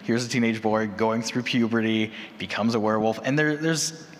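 A man's voice: fairly low pitch, fairly even intonation, moderate pace. A man speaking casually as a panelist in a live discussion, with a descriptive storytelling manner. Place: large echoey room at a convention panel. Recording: echoey hall, noticeable background noise.

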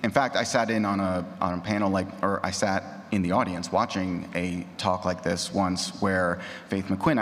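Low-voiced man speaking in an echoey hall. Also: fairly bright tone. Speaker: audio drama creator, panelist. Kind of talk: unscripted panel discussion.

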